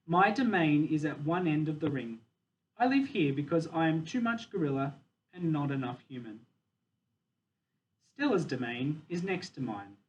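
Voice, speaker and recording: A man voicing a proud, wistful, dignified captive silverback gorilla in a measured, deliberate storybook reading. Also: fairly high pitch, very animated. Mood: matter-of-fact. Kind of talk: children's novel read aloud in first person. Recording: dull, distant mic with faint noise.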